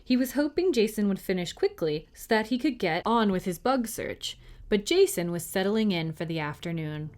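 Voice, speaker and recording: Woman reading a storybook aloud, mid-pitched. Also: big pitch swings, moderate pace, a little mellow. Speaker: library storytime reader. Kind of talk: storybook narration for preteens.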